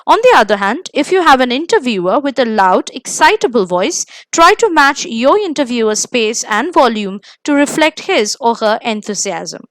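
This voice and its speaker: A woman with an Indian accent delivers a scripted lesson in a high, very animated voice. She phrases things deliberately and leans on key words. The sound is dry and close, with very little room. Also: dry recording. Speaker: host of an exam coaching video. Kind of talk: scripted instructional presentation.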